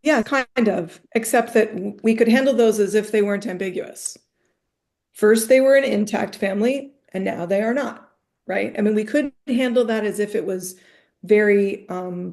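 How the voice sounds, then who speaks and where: Mellow-voiced woman teaching thoughtfully. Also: mid-range voice, normal speed. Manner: thoughtful, searching for words, many restarts. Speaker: rabbi leading a group session. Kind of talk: conversational teaching on a video call.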